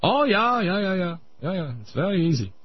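A man voicing a pompous old male Viennese psychoanalyst, lecturing in a smug, drawn-out way. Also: high-pitched, very animated, husky, no room sound, highs cut off, pitched above the performer's own voice, heavily acted.

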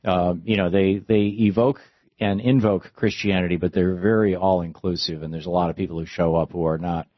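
A man's voice: low-pitched, steady tone, brisk, dry recording, dull audio. An American man in his sixties hosting a radio call-in show in a conversational talk style. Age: 60s. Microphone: close studio microphone.

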